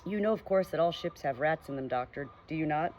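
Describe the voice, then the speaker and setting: A timid male ship rat in a storybook tale, earnest and a little nervous, clearing his throat as he warns of danger, voiced by a woman reading aloud. His voice sits low and soft. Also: lively intonation, a little fast, background noise. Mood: earnest warning.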